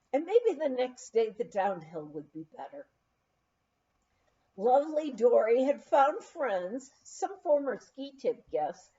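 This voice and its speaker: Slow, animated, high-voiced woman reading. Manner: animated, unhurried storytelling. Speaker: audiobook reader. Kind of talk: reading a memoir aloud. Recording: dull, lo-fi recording.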